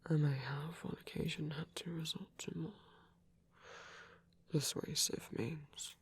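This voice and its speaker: A man playing a gentle vampire priest in an intimate ASMR roleplay, soft and breathy, speaking in hesitant, measured, genteel phrases. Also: fairly high pitch, fairly even intonation, heavily acted. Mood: earnest, measured. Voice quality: breathy, whispery. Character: gentle, soft-spoken vampire priest, male, pacifist, tender.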